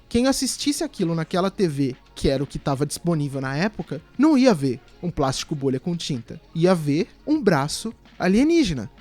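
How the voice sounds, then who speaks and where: A man's voice: high voice, darkish tone. A Brazilian man giving casual video essay commentary in a conversational, explanatory way.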